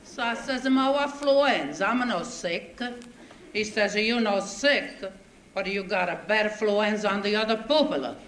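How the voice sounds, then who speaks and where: Comic Italian immigrant woman with broken English and thick accent, put on by a woman comedian; mid-pitched, echoey stage with a noisy audience. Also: measured pace, natural voice. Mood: indignant comic. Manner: indignant, storytelling, quoting others. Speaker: stand-up comedian. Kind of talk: comic character monologue. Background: audience laughter.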